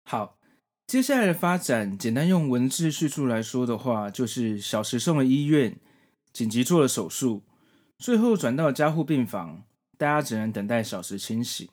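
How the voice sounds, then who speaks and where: Male podcast host, forties, Taiwanese Mandarin accent. Conversational reviewer with a very varied, lively pitch. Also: moderate pace. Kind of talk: solo manga review, conversational narration.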